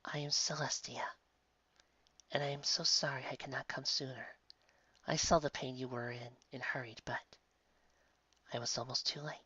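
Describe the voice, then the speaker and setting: Hushed, gentle regal unicorn angel acted by a narrator. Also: medium pitch, flat delivery, breathy edge, no echo, highs cut off. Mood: sorrowful, soothing. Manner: hushed, slow, tender. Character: gentle regal female winged unicorn angel, sorrowful and comforting. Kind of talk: acted storybook character voice.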